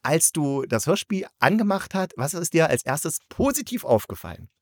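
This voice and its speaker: A man's voice: on the high side, sing-song, normal speed, no echo, studio quality. A man chatting casually and colloquially as the host of a review podcast. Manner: colloquial, conversational. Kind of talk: casual review chat about an audio drama.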